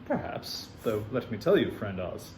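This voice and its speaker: A man's voice: fairly low pitch, big pitch swings, moderate pace, a little mellow. A man playing a boastful fantasy thief and relic peddler in an audio drama, talking in a grand, theatrical manner. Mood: sly, boastful. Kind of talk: dramatic audio fiction acting. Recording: roomy, some background noise.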